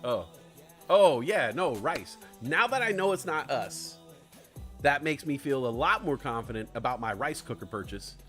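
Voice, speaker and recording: A man's voice: lively intonation, normal speed, soft background music. Profane, rambling young male streamer. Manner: profane, rambling, jokey, swings from low mumbles to loud shouts. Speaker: livestream host. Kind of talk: casual live stream chat.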